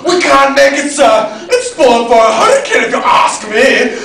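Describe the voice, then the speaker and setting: A man acts an excitable young-spirited crewman on stage, loyal and urgent. He calls out high and bright across an echoey, noisy room. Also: moderate pace, vocal fry, recorded from a distance, exaggerated voice. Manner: urgent, shouted, theatrical. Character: excitable, loyal military crewman shouting to his commander. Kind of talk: theatrical dramatic interpretation performance.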